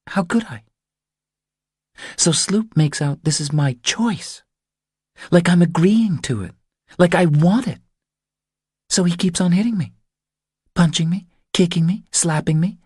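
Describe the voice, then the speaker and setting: Audiobook role: trapped, defiant young mother played by a male narrator, raised husky voice, pleading confessions in short phrases. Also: sits high, darkish tone, no echo, studio quality, voice pushed up. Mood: anguished, indignant.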